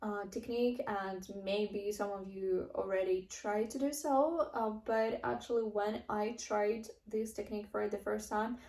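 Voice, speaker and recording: A young woman from Russia with a Russian accent, talking earnestly to camera as she teaches English, often pausing on fillers and restarting her sentences. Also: medium pitch, steady tone, a little mellow. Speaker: English-learning vlogger. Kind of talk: casual teaching monologue to camera. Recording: echoey room.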